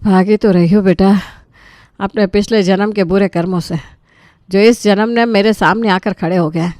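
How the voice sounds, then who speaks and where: A woman's voice: mid-range voice, fairly even intonation, normal speed, a little mellow, no echo, studio quality, natural voice. A woman voicing a weary elderly mother-in-law in a cartoon story, grumbling with dramatic self-pity. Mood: weary, aggrieved. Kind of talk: animated moral story dialogue.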